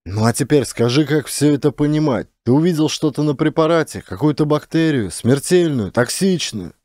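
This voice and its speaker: Casting: male narrator as concerned, baffled young officer, sci-fi audiobook, worried questions, mellow timbre. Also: fairly high pitch, expressive, normal speed, dry recording, clean studio sound, pitched above the performer's own voice, lightly acted. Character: anxious, puzzled, concerned male lieutenant.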